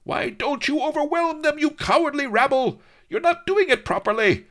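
A man voicing a cruel, unhinged Spanish nobleman who shrieks orders at his soldiers in a shrill, gibbering voice. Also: lively intonation, moderate pace, a bit bright. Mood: frantic.

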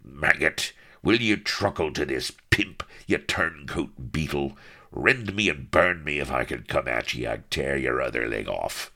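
Gravelly, blustering giant pirate captain. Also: male voice, very deep voice, expressive, takes it slowly, bright, forceful tone, heavily acted. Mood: raging. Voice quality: wheezy growl. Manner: bawling, oath-laden archaic pirate talk. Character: huge hairy roguish pirate captain, blustering and defiant.

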